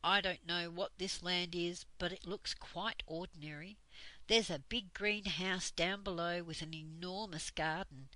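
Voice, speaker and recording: A woman's voice: lowish voice, fairly even intonation, measured pace, sharp tone, dry recording, rough recording. Woman doing a fretful storybook creature. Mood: calm. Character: anxious cautious male fairy-tale creature.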